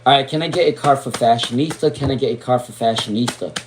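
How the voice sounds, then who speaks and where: A man with a raspy, worn voice, chatting casually while giving live tarot readings and drifting into asides. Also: mid-range voice, quick pace, noisy background. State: voice worn from talking all day. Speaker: tarot reader.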